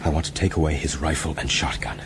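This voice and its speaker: An actor in a radio drama playing an earnest, courteous ship's mate, measured and purposeful. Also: male voice, very deep voice, steady tone. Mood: urgent, resolute. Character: earnest, resolute ship's mate, educated man plotting escape. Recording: audio drama with clear ambient noise.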